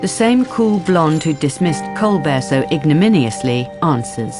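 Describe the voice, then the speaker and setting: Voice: mid-range voice, lively intonation, unhurried, over music. A narrator reading scripted storytelling passages for a radio drama.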